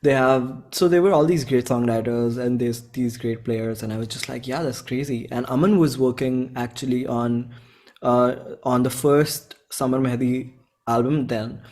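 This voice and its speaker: A man's voice: medium pitch, normal speed, darkish tone. A man in his twenties with an Indian accent, talking casually and in a rambling, slangy way as a musician being interviewed. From Bhopal, India. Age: 20s. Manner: rambling, informal slang, often quotes own thoughts.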